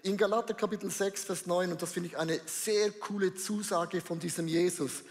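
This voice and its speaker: High-voiced hyped male pastor. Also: steady tone, normal speed. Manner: hyped, enthusiastic, preacherly. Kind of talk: energetic church service address. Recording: long hall reverb.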